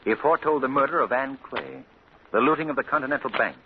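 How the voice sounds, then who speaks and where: An actor playing a composed, secretive wealthy gentleman who speaks in a measured, polished way, on a tinny, hissy 1940 radio broadcast. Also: medium pitch, vocal fry, lightly acted. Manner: measured, polished, with small hesitations.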